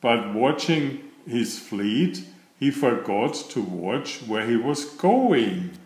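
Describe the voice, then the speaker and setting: Slow, expressive male storyteller in echoey room. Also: mid-range voice. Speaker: storybook narrator. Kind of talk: children's read-aloud narration.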